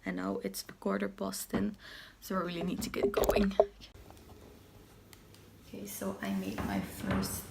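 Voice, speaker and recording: A young woman in her twenties with a slightly raspy just-woken voice and hiccups, chatting casually while showing gifts, recorded on a handheld camera. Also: medium pitch, very animated, a little slow, echoey room, very noisy. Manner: chatty, self-narrating while wrapping gifts. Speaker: vlogger. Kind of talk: casual vlog chat. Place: home kitchen and living room.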